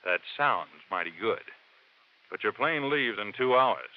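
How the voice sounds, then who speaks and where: Fundy, low-voiced company man, old radio. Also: expressive, measured pace. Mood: businesslike. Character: businesslike male insurance company manager, measured and concerned. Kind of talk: 1940s radio drama acting. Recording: dull, noisy vintage radio recording.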